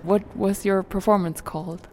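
A woman's voice: medium pitch, fairly even intonation, normal speed, darkish tone, a little hiss or hum. A woman interviewing two artists, asking curious questions and helping them find words.

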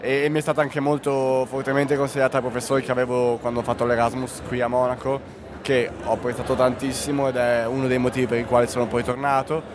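A man's voice: mid-range voice, little pitch movement, fast. A man from Italy with an Italian accent, an art student describing his studies and his work with materials, searching for words as he goes. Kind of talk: personal interview answers. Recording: crowd noise around.

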